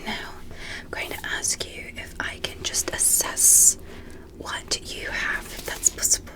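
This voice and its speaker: A woman whispering softly and breathily in an ASMR medical roleplay, speaking gently and deliberately in short measured phrases as a fascinated alien doctor. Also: poor audio. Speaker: ASMR performer.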